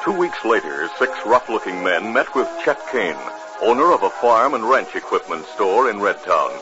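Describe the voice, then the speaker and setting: A man's voice: lowish voice, big pitch swings, moderate pace, over loud music. An American man as a 1950s radio announcer, reading scripted narration with dramatic, emphatic delivery. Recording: muffled, noisy old 1950s radio recording.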